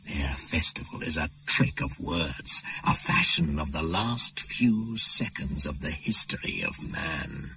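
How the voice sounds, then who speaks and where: Ancient, deep, brooding shaman spirit. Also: animated, slow, hardly any pauses, sharp tone, a little hiss or hum, heavily acted, poor audio. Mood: bitter, proud. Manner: incantatory, solemn, poetic delivery. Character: ancient pagan shaman spirit, proud, brooding, resentful of Christians. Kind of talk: dramatic radio play monologue. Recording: 1980s radio drama with music behind.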